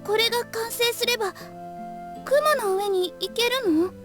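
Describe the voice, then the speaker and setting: A woman acting youthful, expressive high-school girls in a Japanese visual novel. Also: brisk. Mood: wondering. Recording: prominent game music behind dialogue.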